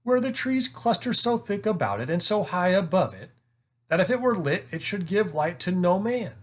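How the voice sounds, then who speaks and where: A noble high king from a storybook, acted out by a man reading aloud, bold and resolute. He speaks in formal, old-fashioned courtly phrases with stately confidence. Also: very animated, normal speed. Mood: wondering. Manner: formal archaic courtly speech.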